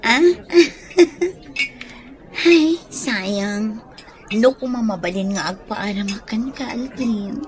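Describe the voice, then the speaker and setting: A woman's voice: mid-range voice, takes it slowly, long pauses, natural voice. An actress playing a teasing, frank young woman who nudges a friend toward romance in a radio drama.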